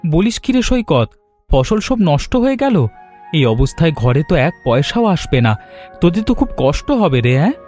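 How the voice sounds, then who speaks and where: Man playing an animated, brotherly villager. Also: fairly high pitch, fairly quick, faint music behind. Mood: concerned. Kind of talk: cartoon voice acting.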